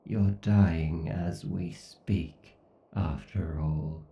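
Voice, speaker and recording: A man voicing an arrogant, obsessed, sadistic AI god in a horror roleplay, pompous and menacing, with theatrical pauses. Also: low voice, steady tone, creaky, mellow, reverberant, recorded from a distance, dull audio, full character voice. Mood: gloating, sadistic. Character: obsessed, sadistic, arrogant godlike male AI villain. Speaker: voice actor. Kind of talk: horror ASMR roleplay monologue.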